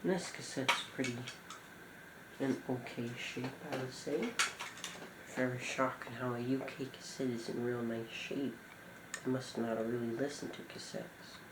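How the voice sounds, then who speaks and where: A man giving an informal show-and-tell commentary as a collector, talking in short, halting phrases. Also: medium pitch, steady tone. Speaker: cassette collector presenting a collection. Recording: echoey room with clear background noise.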